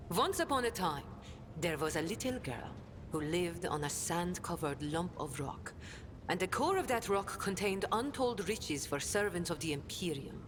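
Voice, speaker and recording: An actress playing a hardened, defiant lowborn smuggler and ex-soldier in a video game, blunt and sardonic. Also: female voice, natural voice. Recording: long reverb, loud rumbling noise behind.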